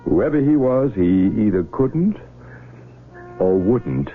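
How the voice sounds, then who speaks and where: An actor playing a doctor who explains the case in a calm, measured way. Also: male voice, lowish voice, loud music behind the voice. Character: calm, matter-of-fact hospital doctor. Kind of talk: 1970s radio drama acting. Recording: tinny old radio broadcast with faint noise.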